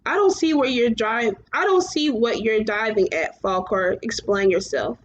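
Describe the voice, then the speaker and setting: A woman's voice: on the high side, moderate pace, natural voice. A woman voicing a weary, determined young boy hero in a storybook, questioning and stubborn. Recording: muffled, clearly noisy home recording.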